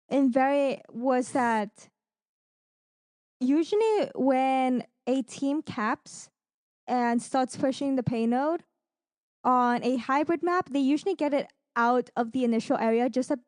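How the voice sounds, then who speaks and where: A woman's voice: high-pitched, darkish tone, no room sound, studio quality. A woman co-hosting a podcast, recapping esports matches in a casual, gushing, enthusiastic way. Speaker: podcast co-host.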